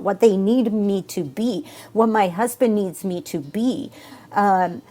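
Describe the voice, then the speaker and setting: A woman from Argentina with an Argentine Spanish accent, talking as a guest about her work as a relationship coach. Her voice is mellow and fairly high, and she tells her story earnestly, with plenty of ums and uhs. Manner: earnest, animated storytelling with fillers. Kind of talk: casual interview talk.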